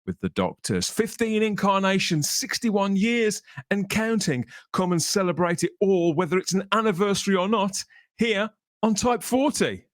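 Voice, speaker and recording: A man's voice: sits high, lively intonation, normal speed, no echo, clean studio sound. A man from Birmingham with an English accent, presenting and chatting as a podcast host. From Birmingham, England. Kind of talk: upbeat podcast presenting and chat.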